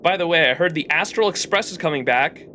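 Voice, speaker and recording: A man's voice: a little high, animated, fairly quick, pushed and bright, slight background noise. A male live streamer reading game text aloud expressively, with his own commentary mixed in.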